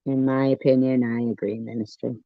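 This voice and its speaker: A woman hosting a casual live stream, commenting and reacting in a deliberate, repetitive way. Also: very deep voice, level tone, no echo. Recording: dull lo-fi live stream audio.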